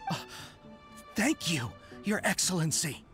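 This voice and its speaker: A young samurai soldier in a video game. He is fervent and dutiful, with widely swinging pitch and a formal, deferential way of speaking. Faint music runs underneath. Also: very animated, a little slow, a bit bright. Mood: grateful, obedient.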